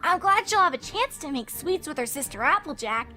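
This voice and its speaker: A woman's voice: high voice, big pitch swings, brisk, sharp tone, soft background music, voice pushed up, exaggerated voice. A woman voicing a testy, blunt young tomboy pegasus filly in a cartoon fanfic reading. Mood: exasperated.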